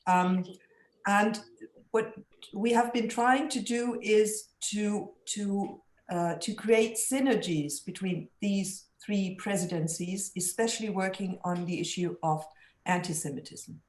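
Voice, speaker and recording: A woman speaking as a guest in an online panel discussion, measured and careful, with frequent restarts. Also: medium pitch. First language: German. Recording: echoey room.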